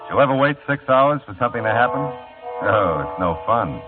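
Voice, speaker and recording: An actor as a wry hard-boiled detective. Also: male voice, mid-range voice, expressive, fairly quick, lightly acted. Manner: wry, world-weary narration. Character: wisecracking hard-boiled private eye narrator. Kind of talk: 1940s radio acting. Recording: tinny old radio broadcast with music.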